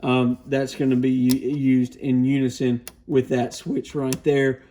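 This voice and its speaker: A man's voice: medium pitch, flat delivery, measured pace, mellow. A man presenting a casual product demonstration with beginner advice, explaining things in an informal, plainspoken way. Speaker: radio shop product reviewer, presenter.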